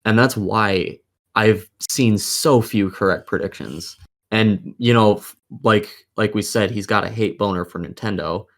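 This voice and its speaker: A man's voice: lowish voice, a little slow. A man hosting a casual gaming podcast, opinionated and swearing freely as he talks. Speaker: podcast host.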